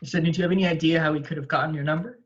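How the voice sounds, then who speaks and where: A man's voice: fairly high pitch, steady tone, racing, highs cut off, lightly acted. Man playing an earnest, hesitant protective lawman. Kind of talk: amateur at-home screenplay table read.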